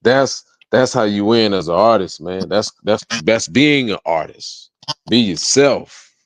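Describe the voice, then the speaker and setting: A man's voice: on the low side, animated, unhurried, dry recording. A man speaking casually as a music artist guest in an interview, motivational, emphatic and repetitive, with slangy tag questions.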